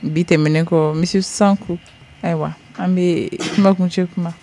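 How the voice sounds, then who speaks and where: Radio host guy, kinda high voice, keeps saying mhm and translating stuff, faint hiss behind. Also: measured pace. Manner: steady interjections, translating for a guest. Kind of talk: radio talk show, bilingual chat.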